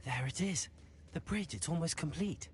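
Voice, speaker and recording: A man's voice: a little high, lively intonation, normal speed, a little hiss or hum, lightly acted. A man voicing a curious, bold young student wizard in a fantasy video game. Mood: curious musing. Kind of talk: video game voice acting, musing aloud and calling spells.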